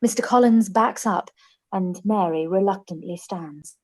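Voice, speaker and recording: Female narrator for a live-read radio play, medium-pitched, scripted scene-setting. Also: unhurried, a little mellow. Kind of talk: scripted stage-direction narration.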